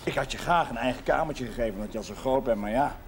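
An actor playing a warm, proud, middle-aged working-class father in a television period drama. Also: medium pitch, fast, close to an everyday voice. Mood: proud, explaining. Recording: faintly noisy 1970s television soundtrack.

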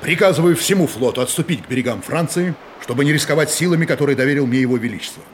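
An actor playing a resolute musketeer captain in command of an expedition in a radio drama, authoritative and declamatory. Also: male voice, a little high, very fast, slight background noise, lightly acted. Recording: loud music under radio play. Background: music.

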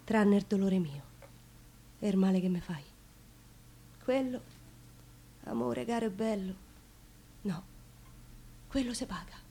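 A woman's voice: mid-range voice, slow, pauses often, warm tone, slightly rough. An actress with a Roman accent playing a passionate, generous yet wounded woman in love in a theatrical monologue. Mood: wounded, quiet. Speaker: stage actress.

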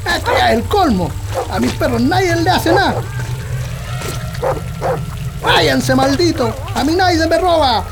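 A Chilean country father in a radio drama, frightened yet defiant. The voice is very high and urgent, full of commands and exclamations. Dramatic music plays clearly behind it. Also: animated, measured pace, pauses often, full character voice. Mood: angry, defiant. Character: frightened, defiant rural father guarding his family with a shotgun.

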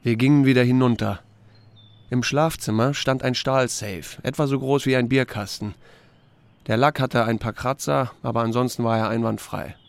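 A man's voice: medium pitch, fairly even intonation, moderate pace, gravelly, clean tone, natural voice. A man playing a reserved small-town sheriff in a German radio drama, narrating and speaking in terse, understated lines. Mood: probing, restrained.

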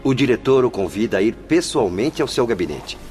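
An actor playing a polite, obliging hospital attendant in a radio drama. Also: male voice, medium pitch, very animated, fairly quick, a little mellow, faint music behind, lightly acted. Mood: courteous.

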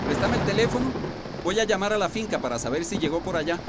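An actor playing a proud, brusque landowner in a Central American Spanish radio soap opera. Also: male voice, sits high, expressive, quick pace. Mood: urgent. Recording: radio drama with loud rainstorm noise.

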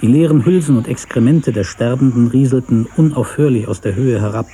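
A man reading a literary story as narrator in a measured, sober manner. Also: mid-range voice, mellow, slight background noise.

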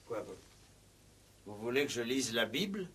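A man playing a gruff, insistent police commissioner in a 1960s French-language radio play, with colloquial, probing talk and hesitant repetitions. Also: mid-range voice, sing-song, moderate pace, a bit bright, heavily acted. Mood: puzzled. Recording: vintage 1960s radio drama recording.

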